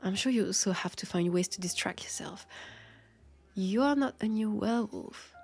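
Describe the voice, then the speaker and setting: A woman with a French accent playing a world-weary, guarded immortal vampire detective in an audio drama, speaking haltingly and confidingly with a creaky, breathy voice that slips toward whispers. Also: medium pitch, a little mellow, faint music behind. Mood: nostalgic, wistful. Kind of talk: intimate roleplay audio drama monologue.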